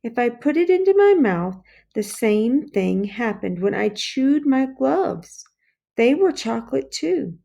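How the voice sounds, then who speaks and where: An earnest young schoolboy, acted out by a woman reading a storybook. The voice is raised high and pleads its case insistently. He sounds aggrieved and wants very much to be believed. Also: big pitch swings, slow pace, creaky, warm tone, exaggerated voice. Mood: pleading, earnest. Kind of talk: children's storybook character voice.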